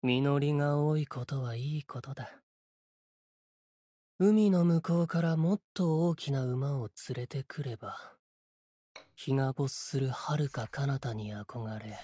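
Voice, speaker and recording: A man voicing a solemn, cryptic sword spirit warrior in a Japanese game, with measured, portentous, archaic phrasing and a breathy voice. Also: medium pitch, mellow. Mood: cool, imperious, then calm, measured. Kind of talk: Japanese game character voice lines.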